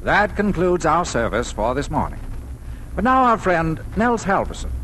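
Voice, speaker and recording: A fairly high, lilting voice of a formal country pastor. He addresses his church in 1940s radio style on a slightly noisy old recording. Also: big pitch swings, normal speed, a bit bright.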